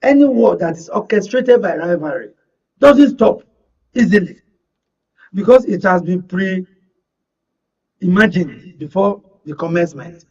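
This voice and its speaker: A man's voice: high-pitched, animated, a little slow, pauses often, a little mellow, breathy edge, distant mic, muffled recording. A man with a Nigerian accent debating politics as a studio guest, emphatic and rhetorical, often repeating phrases to press his point.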